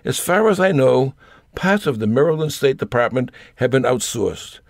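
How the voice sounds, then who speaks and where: A man's voice: mid-range voice, animated, normal speed, no echo, studio quality. An older man reading a scripted personal essay aloud in a wry, grumbling manner. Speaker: storyteller reading own essay.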